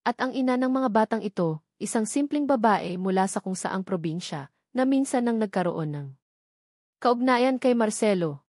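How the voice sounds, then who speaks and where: Woman narrator as a sly, soft-spoken Filipina schemer. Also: fairly high pitch, expressive, normal speed, no echo, clean studio sound. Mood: feigned worry. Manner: soft-spoken with a hint of intrigue. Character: sly, scheming, sweet-talking sophisticated woman villain. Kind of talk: dramatic storybook dialogue.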